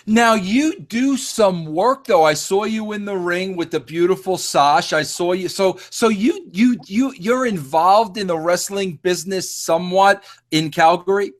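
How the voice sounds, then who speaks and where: A man's voice: high voice, a little slow, hardly any pauses. A man hosting a podcast interview, teasing his guest and often repeating words and restarting sentences. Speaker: podcast host. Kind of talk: casual interview chat.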